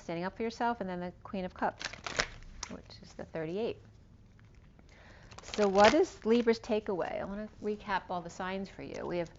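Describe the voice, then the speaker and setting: A woman giving a casual tarot reading, rambling through cards and star signs, her voice going hoarse. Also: medium pitch. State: losing her voice. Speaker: tarot reader. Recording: dull lo-fi recording.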